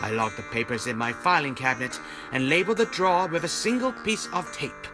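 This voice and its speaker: An actor playing an earnest, inquisitive newspaper writer turned detective in an audio drama, speaking dramatically. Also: male voice, a little high, fairly quick, music in the background, lightly acted. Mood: brooding narration. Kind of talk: audio drama acting and first-person narration.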